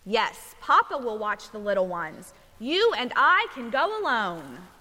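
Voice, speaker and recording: Mama bear for a storybook, voiced by a woman reader: patient, calm, slow delivery, big echoey room. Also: on the high side, exaggerated voice. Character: patient, reassuring mother polar bear. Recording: echoey hall, long reverb.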